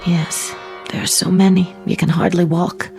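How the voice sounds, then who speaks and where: An actress playing a gentle, faithful woman survivor who speaks softly and hesitantly in a radio drama. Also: on the low side, level tone, normal speed, gravelly. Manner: soft, hesitant, quietly hopeful. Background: music score.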